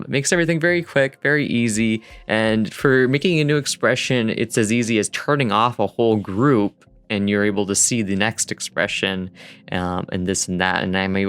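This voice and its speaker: Low, clear-voiced man rambling through a tutorial. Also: a bit bright. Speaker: digital artist narrating a dev vlog. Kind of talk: casual rambling tutorial voice-over. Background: music.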